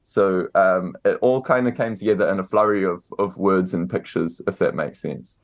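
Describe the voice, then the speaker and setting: A young New Zealand man answering interview questions in a relaxed, hesitant way, with many fillers and restarts. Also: low voice, normal speed. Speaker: author and guest.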